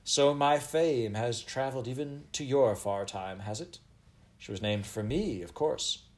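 A man's voice: mid-range voice, unhurried, pitched above the performer's own voice, close to an everyday voice. A man voicing a haughty, vain middle-aged Elizabethan queen with grand, archaic, commanding speech in a storybook reading. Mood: pleased, self-satisfied.

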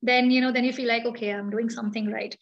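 A woman, speaking as an interview guest, pausing often with ums and uhs as she thinks aloud. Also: a little high, fairly even intonation, dull audio. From India. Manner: thoughtful, hesitant, many ums and uhs. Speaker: guest, architect and founder of a design collective. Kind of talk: reflective interview answer.

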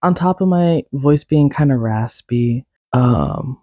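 A young woman rambles through a casual solo podcast, laughing at herself and tossing in plenty of fillers. Her voice is raspy and stuffy from a lingering cold, with a mellow, darker tone. She sounds close to the mic in a dry, dead room. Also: a bass voice, animated, slow, dry recording. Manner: rambling, self-deprecating, many fillers and laughs. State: congested, recovering from a cold. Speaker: podcast host.

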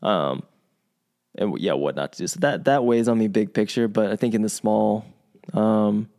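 A man who grew up in Virginia, co-hosting a podcast in relaxed conversation, thoughtful and full of restarts and asides. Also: on the low side, normal speed. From United States, grew up in Virginia. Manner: thoughtful, many restarts and asides, animated when quoting. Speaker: podcast co-host. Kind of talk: casual conversational chat.

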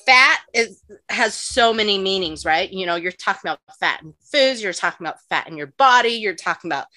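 Chatty woman cohost, bright clear voice, says like a lot, dry close sound. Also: medium pitch, animated, a little fast, no room sound. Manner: chatty, lots of "like" and "you know"; laughs often. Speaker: podcast co-host. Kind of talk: casual podcast chat, explaining nutrition.

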